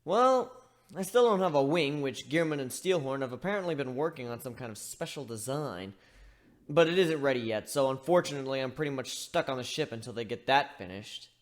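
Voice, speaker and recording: A man playing a lively, rambling male griffin. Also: mid-range voice, a little fast, close to an everyday voice. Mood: wry, rambling. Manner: conversational, rambling, self-interrupting. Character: chatty male griffin, wry, rambling first-person narrator. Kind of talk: dramatic reading of fan fiction, storytelling.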